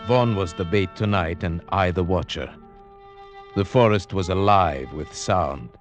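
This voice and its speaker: A very low-pitched male hunter recounts his dread in measured, formal phrases. It is vintage radio horror, with prominent music under the suspenseful narration. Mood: mounting dread. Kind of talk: old-time radio horror drama narration and acting.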